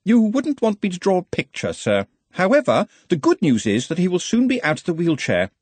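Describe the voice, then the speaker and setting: A man's voice: high voice, huge pitch swings, brisk, a little mellow, breathy edge, no room sound, pitched above the performer's own voice, exaggerated voice. A man voicing an anxious, pedantic, long-suffering wizard's assistant in a storybook, fussy and deferential. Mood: fussy explaining.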